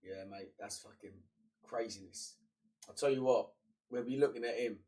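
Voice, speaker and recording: Young guy streaming, bunged-up voice, sits back from the mic, mid pitch, chatty and muttery. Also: normal speed, dark tone, distant mic. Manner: chatty and matey, muttering to himself between bursts. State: congested, voice partly gone. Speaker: streamer playing a football management game. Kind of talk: casual gaming commentary.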